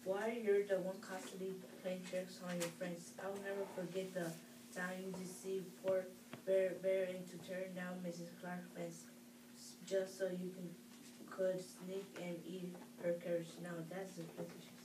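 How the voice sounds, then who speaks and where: A female student reads a readers theatre part in a flat, fairly low voice. The sound is roomy and distant, with clear noise behind it. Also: a little slow. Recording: distant, echoey, noisy classroom recording.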